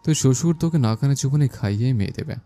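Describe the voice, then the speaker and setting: A man's voice: mid-range voice, lively intonation, quick pace, mellow, soft background music, natural voice. A man playing a teasing young man who needles his friend in an audio story.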